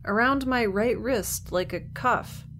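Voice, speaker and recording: A woman's voice: fairly high pitch, slow, fairly bright tone, faint music behind. An actress playing a weary, sardonic young woman held captive in space in an audio drama, with a wry, rambling manner. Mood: wry dismay. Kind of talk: audio drama monologue and dialogue.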